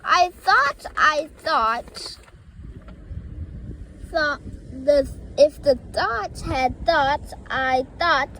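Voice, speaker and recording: High female reader of silly children's verse, very unhurried, stumbling delivery, faint background noise. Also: fairly bright tone, rough recording. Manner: halting, stumbles over words. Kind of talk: reading playful rhymes and tongue twisters aloud.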